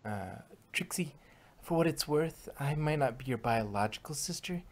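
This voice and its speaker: A woman's voice: low-pitched, lively intonation, moderate pace, darkish tone. A woman voicing an earnest, protective young female pony heroine in a sincere, resolute way for a story reading. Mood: tender. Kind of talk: dramatized fan fiction reading.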